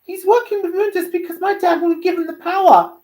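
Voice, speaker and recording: A woman voicing an excitable young boy in a dramatic, exclaiming story character voice. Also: very high voice, fairly quick, voice pushed up. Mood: upset. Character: excitable young boy, nephew of a demon prince.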